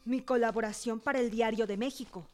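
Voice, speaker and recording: A woman plays a cultured young revolutionary in a radio drama. Her voice is fairly high, assured and questioning, full of independent-minded resolve, with soft music behind it. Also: fairly even intonation, a little fast, natural voice. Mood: playfully guarded.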